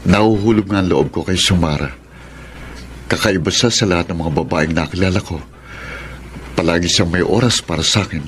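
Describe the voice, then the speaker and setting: A man's voice: deep voice, fairly quick, pauses often, a bit bright, slight background noise. A Filipino man acting as an earnest, lovestruck politician in a radio drama. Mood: confessing, smitten.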